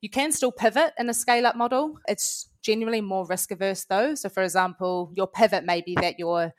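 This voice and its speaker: A woman's voice: mid-range voice, a little fast, no echo. A woman in her late twenties with a New Zealand accent, a guest answering interview questions in a chatty, friendly way. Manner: chatty, friendly, with many "you know" fillers. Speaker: guest, head of customer success.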